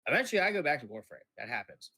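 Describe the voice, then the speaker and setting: A man's voice: fairly high pitch, big pitch swings, very fast, vocal fry, bright, forceful tone, husky, no echo. An American man from New York City chatting casually as a guest, blunt and informal. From New York City, United States. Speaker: podcast guest, streamer. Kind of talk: casual chat.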